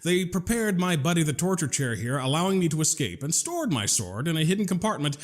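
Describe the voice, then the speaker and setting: Man playing a high-voiced, flippant gentleman saboteur. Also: big pitch swings, brisk. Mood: flippant, exasperated. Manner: glib, chatty, mock-polite with stammering asides. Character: flippant gentleman genius saboteur, verbose and unruffled. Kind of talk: comedic audio drama acting.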